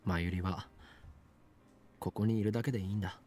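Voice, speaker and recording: An actor playing a grandiose young self-styled mad scientist in a Japanese visual novel, theatrical and pompous. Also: male voice, sits low, fairly even intonation, rapid-fire, natural voice. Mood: gentle, serious.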